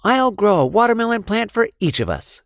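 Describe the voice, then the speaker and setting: Guy doing a confident little girl mouse for a storybook, high and brisk. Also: big pitch swings, fairly bright tone, no echo, studio quality, full character voice. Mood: confident, bright. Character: bold, confident young girl mouse, Lily.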